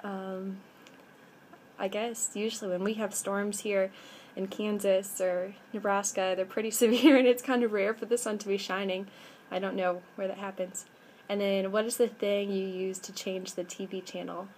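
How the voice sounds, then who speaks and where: A woman's voice: mid-range voice, flat delivery, moderate pace, a little mellow, a little hiss or hum. A woman in her twenties from the American Midwest, with a Midwestern accent, chatting casually on a webcam vlog and saying um often. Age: 20s. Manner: chatty, with frequent ums. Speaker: vlogger. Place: indoors by a window. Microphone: webcam at arm's length.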